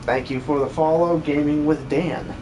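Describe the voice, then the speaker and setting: A man's voice: fairly high pitch, fairly even intonation, normal speed, background noise. A man speaking casually as a livestreamer. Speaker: streamer, amateur voice actor.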